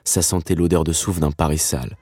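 A man's voice: a bass voice, fairly even intonation, brisk, warm tone, husky, dry recording, clean studio sound. An actor playing a dreamy screenwriter in his thirties, who tells his father's story reflectively in an intimate podcast drama. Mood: nostalgic. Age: 30s.

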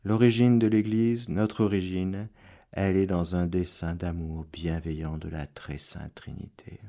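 A male priest giving a radio sermon, preacherly, rising to loud exclamations and dropping to hushed prayer. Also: sits low, little pitch movement. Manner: slow, deliberate delivery. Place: radio studio.

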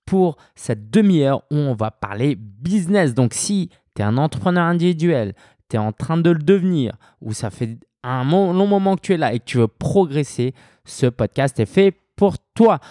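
A man from France hosting a solo business podcast, talking straight to the listener in a relaxed, conversational way with frequent hesitations. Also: a little high, moderate pace, no echo, studio quality. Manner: conversational, addresses listener directly with many fillers. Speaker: podcast host.

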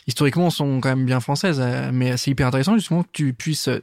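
A man hosting a podcast, asking questions in a relaxed, conversational way with hesitant fillers. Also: mid-range voice, quick pace, no room sound, clean studio sound. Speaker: podcast host, interviewer. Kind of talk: interview questions, casual.

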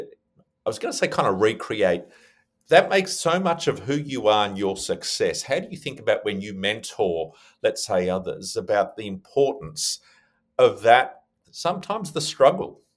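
A man hosting an interview show, speaking conversationally in an effusive, rambling way as he puts questions to a guest. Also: fairly low pitch.

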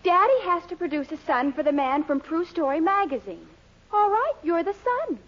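An actress playing a confident, scheming young woman in a 1940s radio sitcom, brisk, coaxing and commanding. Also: very high-pitched, very animated. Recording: muffled old 1940s radio recording.

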